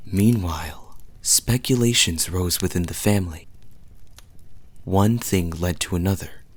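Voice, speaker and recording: A man playing a charming, teasing young fantasy knight in an intimate audio roleplay, telling stories to the listener in a soft, breathy voice. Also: low voice. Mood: hushed storytelling. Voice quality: soft, husky, breathy at times. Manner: smooth-talking, teasing, unhurried storyteller with dramatic pauses.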